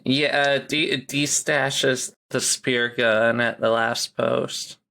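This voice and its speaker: Slow, creaky-voiced male game player. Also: medium pitch, fairly even intonation, bright, forceful tone. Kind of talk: casual game-table chat.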